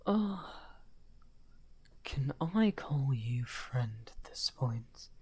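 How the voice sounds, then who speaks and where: A man playing a lonely, misunderstood evil wizard in an ASMR roleplay, speaking softly and courteously in a breathy, whispery, halting voice. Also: medium pitch, animated, exaggerated voice. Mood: hopeful, coaxing. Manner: slow, halting, musing.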